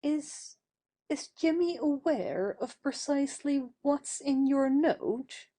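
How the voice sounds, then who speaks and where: A woman playing a composed, kindly and teasing young lady in an audio stage play, measured and gently reproving. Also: sits high, animated. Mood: wry, guarded.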